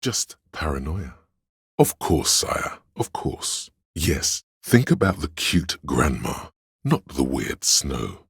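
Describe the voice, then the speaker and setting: A man voicing a video game's inner voice of drama and deceit, grandiose, courtly and fawning, with a gravelly, husky delivery. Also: very deep voice, sing-song, slow pace, dry recording, studio quality, full character voice. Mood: sly, theatrical. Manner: grandiose, mannered, courtly, obsequious. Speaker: video game voice actor. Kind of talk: theatrical game character narration.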